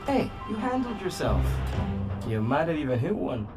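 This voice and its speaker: Freche Roboterkameradin, extrem bewegte Tonhöhe. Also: female voice, low-pitched, very animated, normal speed, music in the background. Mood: teasing. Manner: casual, teasing. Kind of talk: video game cutscene acting.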